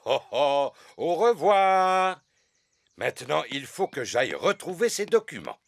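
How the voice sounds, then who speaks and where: A man's voice: on the high side, very animated, unhurried, vocal fry, dry recording, exaggerated voice. A man voicing a jolly, hearty father pig in a cartoon.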